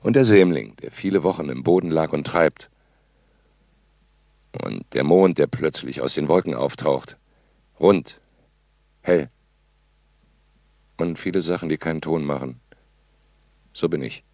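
A low-voiced, eccentric sound artist for a radio play. He sets down his words in deliberate, clipped fragments. It falls between a tape catalogue and a spoken poem. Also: gravelly, a little mellow, dry recording, full character voice. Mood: tender, poetic. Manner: deliberate, terse, fragmentary phrases. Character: eccentric male sound artist, cold commentator and tender poet.

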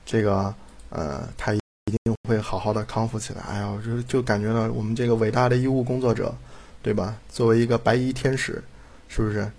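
A man's voice: fairly low pitch, level tone, a little fast, a little mellow. A man speaking as an interview guest in casual chat, rambling and repeating himself, often adding tag questions. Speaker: audio drama director, interview guest.

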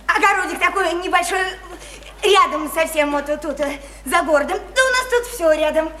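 Very high-pitched, naive small-town woman. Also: moderate pace, bright, forceful tone. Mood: chatty, artless. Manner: rambling, insistent, simple folksy speech. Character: naive, sickly, chatty small-town woman living at home. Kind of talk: stage-style radio drama acting. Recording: roomy echo, faint noise.

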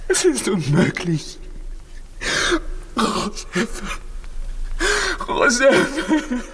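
A man in a 1950s radio drama playing a desperate, disbelieving young father, speaking in a halting, intense, anguished way. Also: high-pitched, sing-song, fairly bright tone, heavily acted. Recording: noisy vintage 1950s radio recording.

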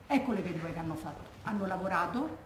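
A woman's voice: fairly low pitch, lively intonation, fast talker, natural voice. An actress playing the grieving, indignant daughter of a Roman war widow, telling her story colloquially and emphatically in a Roman accent, as theatrical testimony. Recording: echoey room, faint background noise.

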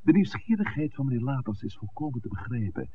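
An actor playing a wry, persuasive village priest in a 1960s radio drama, speaking in a measured, articulate, lecturing way. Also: male voice, medium pitch, big pitch swings, natural voice. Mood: earnest, explanatory. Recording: muffled, hissy 1960s radio recording.

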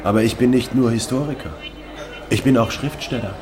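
A man's voice: medium pitch, brisk, a crowd in the background, natural voice. An actor playing an earnest, idealistic male writer in a radio play. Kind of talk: German radio play dialogue. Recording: music behind the voice. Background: music.

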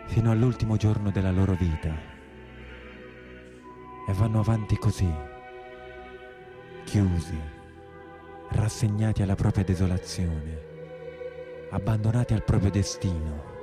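An actor playing an imprisoned brigand in a radio play, plain-spoken and anguished, with a southern Italian accent and colloquial, dramatic delivery. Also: male voice, deep voice. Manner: colloquial, dialect-laced, dramatic. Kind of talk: theatrical monologue in a radio play.

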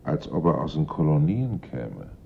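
An actor in a German radio drama playing a dogged police investigator, grave, suspicious and deliberate in his reasoning. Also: sing-song, creaky, faint music behind, heavily acted. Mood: brooding. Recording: dull old radio recording, noisy rumble.

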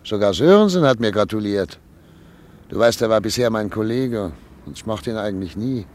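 An actor playing a 39-year-old professor and chief physician in a period radio drama, speaking in a wistful, reflective, quietly anxious way. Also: male voice, on the low side, animated, moderate pace, lightly acted. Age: 30s. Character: 39-year-old doctor, proud yet uneasy.

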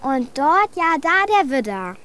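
A woman's voice: high voice, big pitch swings, unhurried, a bit bright, a little hiss or hum, full character voice. A woman playing a curious, earnest young girl in a German radio play, speaking in a questioning way.

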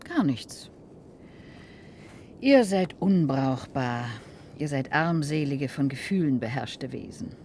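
An actress playing a detached, alien-possessed woman in a German radio play, solemn and measured, scornful of human feeling. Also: rumbling low, expressive, a little mellow, a little hiss or hum, exaggerated voice. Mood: cold, resolute.

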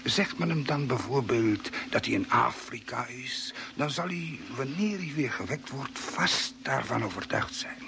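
A man acting a grave, formal stranded space traveller who explains things deliberately in a vintage Dutch radio play, on a noisy old recording. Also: mid-range voice, expressive, vocal fry. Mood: grave, explaining. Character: grave stranded Earth man, knowing explainer of Martian mind control.

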